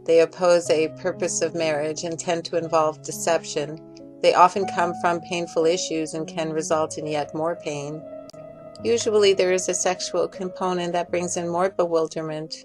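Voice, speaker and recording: A woman's voice: lowish voice, steady tone, moderate pace, soft background music. A woman reading a scripted, critical commentary as a voice-over. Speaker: video commentator. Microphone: voice-over recorded in separate snippets.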